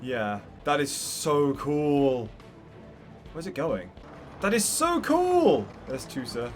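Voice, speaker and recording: A man's voice: fairly high pitch, big pitch swings, takes it slowly. A man giving casual, chatty and enthusiastic gameplay commentary as the host of a gaming video. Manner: enthusiastic, chatty reactions to the game. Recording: game music behind the voice. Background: video game music.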